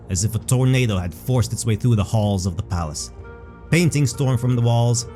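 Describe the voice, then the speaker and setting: A man narrating a scripted fantasy story in a measured, dramatic storytelling manner. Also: mid-range voice, warm tone.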